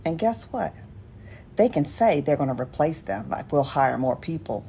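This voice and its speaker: Deep, mellow American woman ranting bluntly. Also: big pitch swings, normal speed, a little hiss or hum. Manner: blunt, sardonic, profane. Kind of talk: casual political rant to camera.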